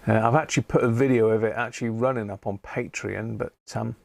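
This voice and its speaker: A man's voice: mid-range voice, fairly even intonation, fast talker, vocal fry. A British man hosting a casual live hobby stream, talking in a rambling, matey way. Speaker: host of a live radio-repair stream. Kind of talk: casual livestream chat.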